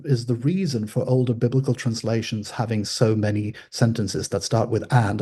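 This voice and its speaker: Swedish-native man, soft husky lecturing. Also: mid-range voice. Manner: thoughtful, pausing to restate and qualify. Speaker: lecturer on ancient languages. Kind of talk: informal scholarly explainer.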